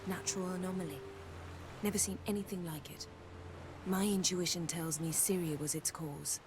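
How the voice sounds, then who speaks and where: A cool, self-assured sorceress from a fantasy game played by an actress, her English voice on the low side. She is poised and sardonic, choosing words with precision. Noticeable ambience runs behind her. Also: steady tone, normal speed, background noise, natural voice. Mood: cool, probing. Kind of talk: video game cutscene acting.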